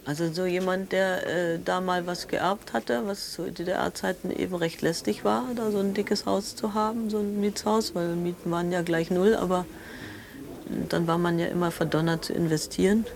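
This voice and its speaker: An actress playing a bereaved sister who gropes for words in a creaky voice, in a naturalistic radio drama. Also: female voice, on the low side, flat delivery, slight background noise. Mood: explanatory. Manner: halting, searching for words. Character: shaken woman, bereaved sister of a victim.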